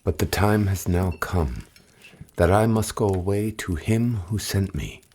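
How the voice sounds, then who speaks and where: Jesus, deep and slow. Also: male voice, darkish tone. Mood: solemn. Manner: grave, measured, at times whispered. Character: Jesus, calm solemn male teacher. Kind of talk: audio drama acting. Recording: music score behind.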